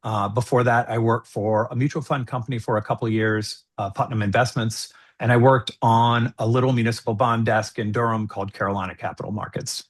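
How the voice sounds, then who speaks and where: A middle-aged man giving an earnest, measured candidate statement on a video call, with some fillers. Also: mid-range voice, fairly even intonation. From United States. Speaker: school board candidate.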